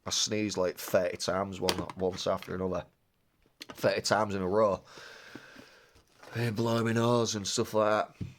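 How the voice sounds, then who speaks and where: A rambling, excitable man talks through a card unboxing in a fairly low voice. He sounds slightly stuffed up from a cold and chats casually, drifting off topic now and then. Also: measured pace, pauses often, slight background noise. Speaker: card-opening vlogger.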